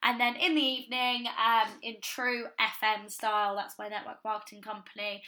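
Young female podcast host, higher voice with a sharp, bright edge, a touch croaky, chatty and effusive. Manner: upbeat, gushing, with frequent ums and "you know". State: slightly croaky voice after a party. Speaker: podcast host and business coach. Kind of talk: casual solo podcast talk.

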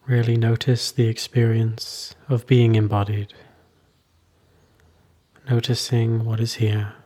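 A man leading a guided meditation as a teacher, soothing and unhurried, with long pauses between short phrases. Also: medium pitch, completely flat delivery, dark tone, breathy edge.

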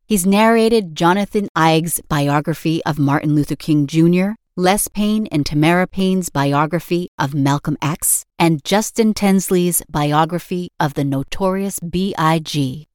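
A woman's voice: lowish voice, a little slow, hardly any pauses, darkish tone, no room sound, studio quality. A woman reading scripted narration as the narrator of a podcast.

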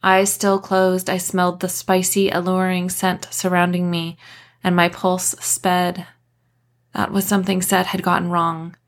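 A woman reads a story aloud in audiobook style. Her voice sits a little low, and she delivers scripted first-person narration as the author of the book. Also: completely flat delivery, unhurried. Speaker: author reading own novel, podcast host.

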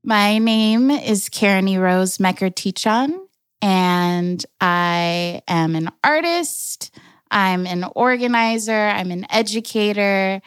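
A young adult woman from Los Angeles telling her family story in a slow, reflective way, with careful restarts. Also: mid-range voice, smooth, no room sound, clean studio sound. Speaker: storyteller, artist and organizer. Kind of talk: personal storytelling.

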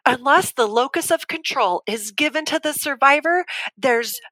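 Female, firm survivor in a podcast drama debate, bright forceful tone, very dry sound, measured insistence. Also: high voice, expressive, no room sound. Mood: firm, defensive. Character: firm abuse survivor debating on a talk show. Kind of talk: fiction podcast debate acting.

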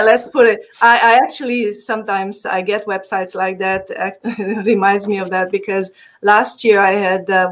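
A woman with a Hungarian accent talks as a guest in a relaxed interview. She laughs easily and fills her sentences with hesitations and restarts. Her voice sits at an ordinary middle pitch. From Transylvania. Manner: laughs often, many fillers and restarts. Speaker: marketing agency guest. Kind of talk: casual interview chat.

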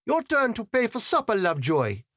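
A man's voice: high-pitched, huge pitch swings, normal speed, a bit bright, dry recording, clean studio sound, voice pushed up, heavily acted. A man voicing a sweet but bossy young woman who commits others to charity, speaking sweetly and firmly. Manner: sweetly firm.

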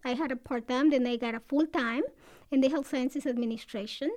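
A middle-aged woman from Ecuador whose first language is Spanish, telling her story in an interview, halting and searching for words. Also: sits high, a little mellow. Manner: halting, searching for words, frequent fillers and light laughs. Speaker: former student and college staff member.

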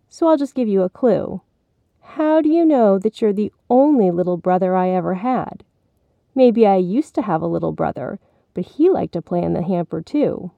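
A woman's voice: mid-range voice, creaky, dry recording, narrow, tinny audio. A woman voicing a spiteful, bossy young girl in a storybook, with a sly, taunting manner.

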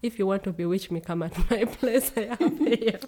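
A woman's voice: medium pitch, normal speed, warm tone. A young woman from Uganda, a guest in a casual conversation, speaking earnestly and laughing easily.

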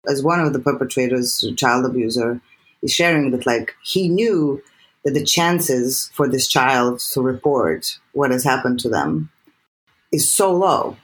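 Serbian-accented woman, earnest and animated. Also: rumbling low, unhurried, rough recording. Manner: animated, many restarts and repeated words. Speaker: guest filmmaker. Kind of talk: earnest interview talk.

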